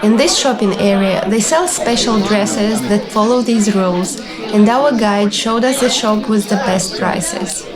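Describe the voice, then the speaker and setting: A young woman reading scripted travel vlog narration in a clear, orderly, explanatory manner. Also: medium pitch, a little slow, a crowd in the background. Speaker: vlogger and cruise ship receptionist. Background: background music.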